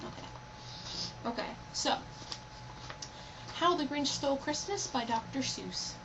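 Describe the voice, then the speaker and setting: A woman's voice: sits high, expressive, slow pace. A young adult woman talking informally and playfully, as in a homemade video chat or storybook read-aloud. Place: indoors, recording on a personal computer. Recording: noisy home computer recording.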